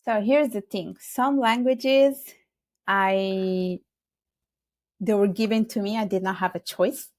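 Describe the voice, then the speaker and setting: A woman's voice: mid-range voice, steady tone, slow, darkish tone, no echo. A woman from Italy whose first language is Bengali, a podcast guest talking in an earnest, reflective way and giving advice. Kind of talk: casual interview chat.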